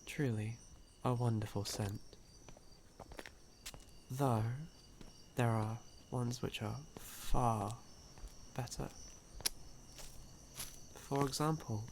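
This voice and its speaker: A man playing a suave, sly, hungry male vampire in an ASMR audio roleplay, speaking in a teasing, drawn-out manner. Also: medium pitch, steady tone, noisy background. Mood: charming, coy. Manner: slow, drawn-out, teasing, with breathy chuckles.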